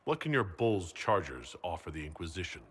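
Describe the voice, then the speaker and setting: An actor playing an earnest, polite young nobleman mage in a video game, speaking in a measured, sincere way. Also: deep voice, big pitch swings, a bit bright, breathy edge, heavily acted. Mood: measured, firm. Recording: long reverb of a hall.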